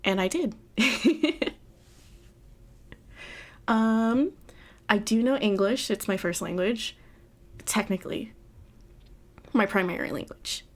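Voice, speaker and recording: Giggly young woman streamer, slow talk. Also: medium pitch. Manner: giggly, laughs often, rambling with restarts. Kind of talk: casual livestream chat. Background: faint background music.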